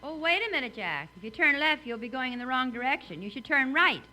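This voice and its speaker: A woman's voice: high-pitched, expressive, fast, sharp tone, full character voice, poor audio. A woman playing a practical, skeptical companion in a 1950s radio comedy, delivering questioning straight lines.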